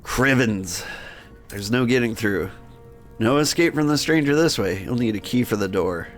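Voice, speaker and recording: A man's voice: medium pitch, expressive, moderate pace, soft background music, lightly acted. A man voicing a weary, brooding Victorian minotaur who narrates a story game in wry, old-fashioned slang. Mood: grim, resigned. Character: world-weary male Victorian minotaur, wry, struggling with vices. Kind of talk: first-person game narration in character.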